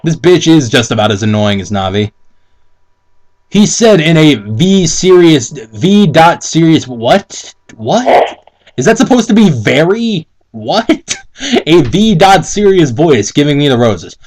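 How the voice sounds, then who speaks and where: A man with a fairly high, very lively voice reads fan fiction aloud in a mock dramatic style. He drops into casual, incredulous commentary and swears freely. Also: takes it slowly. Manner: incredulous, sweary, theatrical, breaking into laughter.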